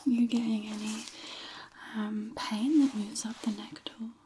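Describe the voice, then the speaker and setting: A woman speaks in a breathy half-whisper, playing an ASMR physiotherapist. Her delivery is very slow and level, with gentle, hesitant instructions. Noticeable background noise and small clicks sit behind her voice. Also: on the high side, fairly even intonation. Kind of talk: ASMR medical roleplay, soft-spoken.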